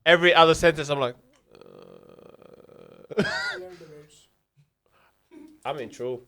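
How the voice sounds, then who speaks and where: A man in his twenties, a non-native English speaker from Finland, chatting casually on a podcast in a slangy, animated way with some swearing. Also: on the high side, slow. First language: not English, speaks Arabic with parents. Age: 20s.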